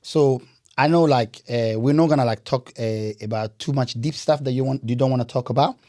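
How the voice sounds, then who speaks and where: A man's voice: medium pitch, fairly quick, dark tone. A man hosting a podcast, interviewing in a casual style and often repeating words and phrases back. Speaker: podcast host.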